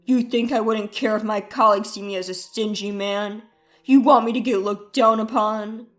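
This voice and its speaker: A woman's voice: mid-range voice, fairly even intonation, moderate pace, soft background music, lightly acted. A woman voicing a boastful, defensive husband who is contemptuous of his wife, blustering through a dramatized family story. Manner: blustering, dismissive.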